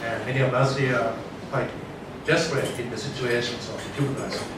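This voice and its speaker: A man's voice: mid-range voice, steady tone, brisk. Earnest man speaking from a far, echoing hall. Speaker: audience member asking a question. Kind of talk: question from the floor at a panel discussion. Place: large conference room. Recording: echoey hall from far off, noisy.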